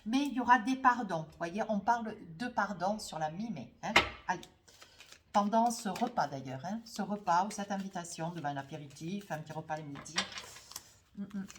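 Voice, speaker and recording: A woman gives a spoken tarot reading while she has a cold. Her delivery is hesitant and rambling, with frequent filler tags. Her voice sits at a middling pitch. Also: moderate pace, background noise. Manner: rambling, hesitant, full of "hein" and "voyez" tags. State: has a cold, runny nose. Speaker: tarot card reader.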